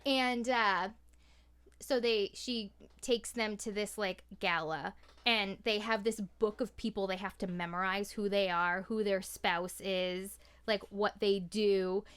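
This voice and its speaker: A woman's voice: mid-range voice, fairly even intonation, slow, a bit bright, clean tone. A woman chatting casually as a podcast guest, telling anecdotes in a chatty, storytelling way.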